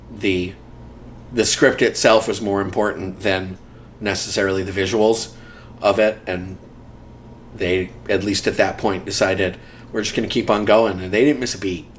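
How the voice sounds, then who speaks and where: A man's voice: fairly low pitch, a little hiss or hum. A man in a casual interview, reminiscing about community theater, rambling with frequent ums and dry humor.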